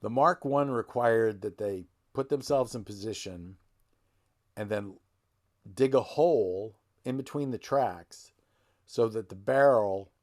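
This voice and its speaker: Gravelly-voiced man hosting a history talk. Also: lowish voice, a little mellow. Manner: rambling, self-correcting, folksy. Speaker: genealogy channel host. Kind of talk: casual explanatory talk. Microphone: desk microphone close to him.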